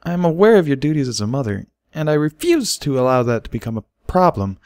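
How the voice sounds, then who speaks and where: A man's voice: medium pitch, big pitch swings, brisk, a little mellow, smooth, no echo, studio quality, voice pushed up. A man voicing a nervous, earnest male pony, an awkward suitor who speaks hesitantly, in a storybook reading. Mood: anxious, hopeful. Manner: hesitant, stammering.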